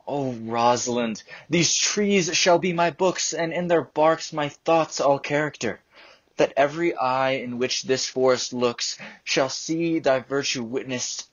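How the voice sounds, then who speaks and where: Man playing lovestruck young Orlando, slow and lyrical. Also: mid-range voice, lo-fi sound, close to an everyday voice. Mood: ardent, yearning. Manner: lyrical, declamatory. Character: lovestruck earnest young nobleman poet, Orlando. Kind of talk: Shakespearean radio play acting.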